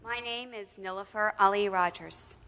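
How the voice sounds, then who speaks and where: A woman delivering a prepared spoken reflection, deliberate and solemn, in poetic phrases. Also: medium pitch, sharp tone, clean tone. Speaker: speaker telling a personal faith story. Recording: long hall reverberation.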